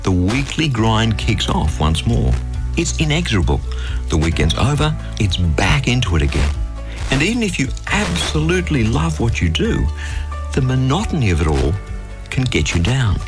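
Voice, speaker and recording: A man's voice: medium pitch, wildly swooping pitch, moderate pace, over music. A man hosting a scripted radio devotional in an earnest way, often putting rhetorical questions to the listener. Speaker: devotional program host.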